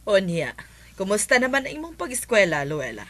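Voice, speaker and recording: A woman with a Filipino Cebuano accent playing a caring, nagging mother in a radio drama, motherly and expressive. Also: mid-range voice, a little fast, over loud music, lightly acted. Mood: concerned, nagging. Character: caring, nagging middle-aged mother of schoolgirl.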